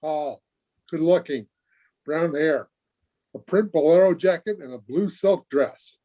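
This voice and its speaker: Man acting a higher-voiced, curt, pushy stranger. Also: unhurried, mellow, highs cut off. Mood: brusque. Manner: clipped, demanding. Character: curt, pushy male stranger in a hurry at a bar. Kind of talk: 1940s radio drama acting.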